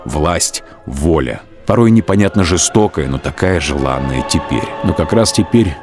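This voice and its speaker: A man with a very deep voice narrates a radio play in a grave, measured way. His storytelling stays steady and even. Soft music runs faintly underneath.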